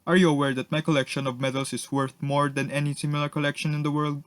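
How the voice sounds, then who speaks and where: A man's voice: on the high side, level tone, fast, no room sound, close to an everyday voice. A man playing a cold, suspicious lord and husband in an amateur radio drama, haughty, sarcastic and scolding.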